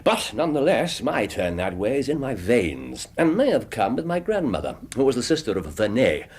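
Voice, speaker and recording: An actor playing a brilliant, self-assured English gentleman detective in a radio drama, lecturing precisely with theatrical emphasis. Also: mid-range voice, sing-song, moderate pace, breathy edge.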